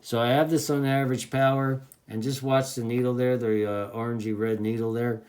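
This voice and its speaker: A man's voice: medium pitch, flat delivery, a little fast, darkish tone, clean tone. A man giving a casual, enthusiastic product demonstration in an informal, folksy way, like a reviewer talking to hobbyists. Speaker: radio reviewer.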